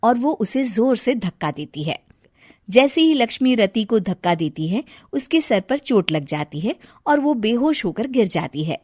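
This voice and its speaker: A woman narrating a scripted story in an expressive storyteller's manner. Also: a little high, a little slow, no echo, studio quality.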